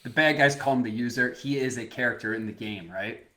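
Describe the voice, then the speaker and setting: A man's voice: mid-range voice, a little fast, bright, forceful tone. A man co-hosting a podcast in a casual chat, pushing his point with argumentative energy. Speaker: podcast co-host.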